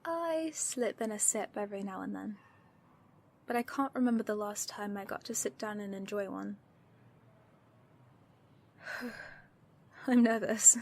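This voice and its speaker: Woman playing a slow-spoken, spirited villainess. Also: medium pitch, vocal fry, a little mellow, a little hiss or hum. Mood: tender, nervous. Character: loyal, spirited female supervillain, battle-ready and fond. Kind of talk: audio roleplay acting.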